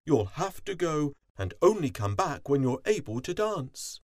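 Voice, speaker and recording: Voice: medium pitch, big pitch swings, measured pace, a little mellow, dry recording, studio quality, pitched above the performer's own voice. A storybook narrator voicing a cross, bossy queen bee who commands with regal authority. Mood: cross, booming.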